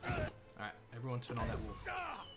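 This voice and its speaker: A man's voice: medium pitch, huge pitch swings, brisk. A man with a half Australian, half American accent casually commenting on his gameplay as a streamer, chatting to himself while he plays. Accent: mixed Australian and American. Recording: game music in background.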